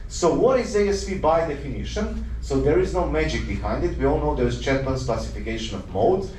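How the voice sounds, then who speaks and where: A man's voice: a little high, a little mellow, slight background noise. A man from Croatia, a doctor giving an earnest conference lecture full of rhetorical questions and small self-corrections. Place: lecture hall. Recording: roomy hall, mic at a distance.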